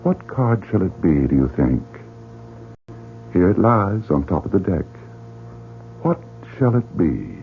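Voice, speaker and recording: Through a thin, humming vintage radio recording comes a sinister club director, male and coldly in command. He speaks low and very slowly, with dramatic swoops, relishing the suspense like a classic radio mystery villain. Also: very animated, vocal fry, phone-quality sound, slight background noise, heavily acted. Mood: savouring suspense. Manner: slow, deliberate, theatrical. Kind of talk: old-time radio mystery acting.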